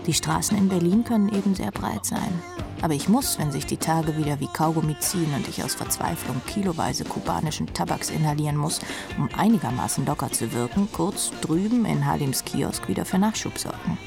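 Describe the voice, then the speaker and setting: An actress playing a jaded, sardonic rock drummer woman who confides her thoughts in a breathy murmur in a radio drama. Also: deep voice, fairly quick. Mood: wry, weary. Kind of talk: radio drama inner monologue. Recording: music behind the voice.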